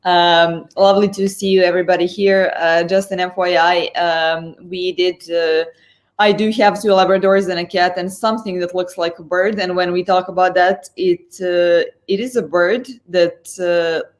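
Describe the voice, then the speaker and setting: A young woman from Serbia talks with a clear Serbian accent in a slightly low voice. She is a guest on a live stream over a video call, speaking fluently but filling pauses and restarting her phrases. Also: steady tone. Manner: fluent, many fillers and restarts.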